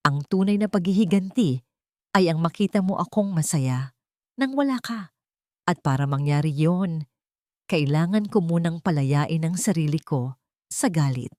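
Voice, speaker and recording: Woman acting a betrayed wife, bitter, resolute, cutting and measured, audiobook drama, lowish expressive voice. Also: no echo, studio quality. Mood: solemn, resolute.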